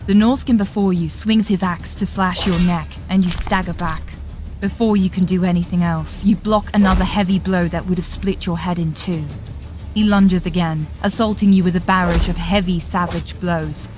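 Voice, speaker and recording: A woman with a fairly low voice narrates a fantasy audio drama. Her delivery is scripted and dramatic. Faint music plays underneath. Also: steady tone, moderate pace, a little mellow.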